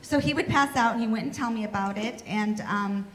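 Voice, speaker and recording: A woman, the pastor's wife, telling briskly and with some teasing how her husband ended up in the hospital. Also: fairly high pitch, fairly bright tone. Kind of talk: casual chat. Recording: echoey room with faint noise.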